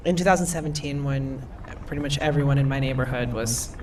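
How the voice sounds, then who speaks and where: A woman in her thirties, from Eastern Europe, telling a personal story as a panelist in an animated, storytelling way. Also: very deep voice, brisk, slight background noise. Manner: animated storyteller, laughs often. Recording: far from the mic, crowd behind.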